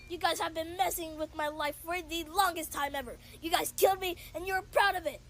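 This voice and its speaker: A woman's voice: very high-pitched, fairly even intonation, fairly quick. A woman voicing a vengeful, menacing killer flower in a cartoon horror story, on a very noisy recording. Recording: very noisy, faint audio.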